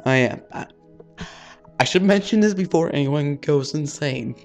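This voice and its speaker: A man's voice: a little high, lively intonation, measured pace, creaky, soft background music. A man hosting a let's-play, reading a visual novel aloud with casual asides and the odd stumble over words.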